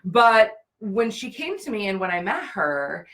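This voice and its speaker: A woman's voice: mid-range voice, very animated, a little slow, a bit bright. A woman speaking as the host of a live business interview show. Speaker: business show host, brand and profit strategist.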